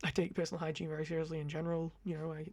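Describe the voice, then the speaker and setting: A young man in his twenties with a high-pitched voice, rambling casually to camera in a chatty, self-deprecating ASMR talk on a close mic. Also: monotone, rapid-fire, a little mellow, no room sound. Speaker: ASMR creator. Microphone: close condenser mic.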